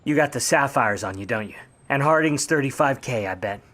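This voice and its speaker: An actor playing a wry, wisecracking private eye in a 1950s noir radio play, narrating and trading slangy lines. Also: male voice, mid-range voice, lively intonation, fairly quick, a bit bright. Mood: confident. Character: wry, grudging hardboiled male private eye.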